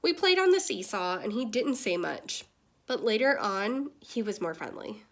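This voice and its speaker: A woman's voice: mid-range voice, very animated, moderate pace, fairly bright tone. A woman reading as a cheerful, cheeky young girl in a storybook, chatty and frank.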